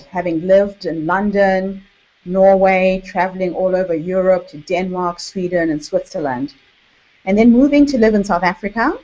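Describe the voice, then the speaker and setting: A woman with a Zimbabwean accent hosts an online workshop, speaking in a measured, deliberate way with emphatic repetition. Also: mid-range voice, a little mellow. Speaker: workshop host and author coach. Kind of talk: online workshop presentation to camera. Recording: dull, lo-fi webinar audio.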